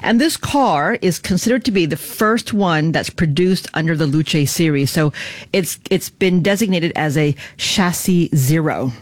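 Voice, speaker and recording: A woman's voice: sits low, measured pace, no echo. Woman business correspondent, dry studio sound. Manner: steady explanatory delivery with fillers. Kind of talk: live news report.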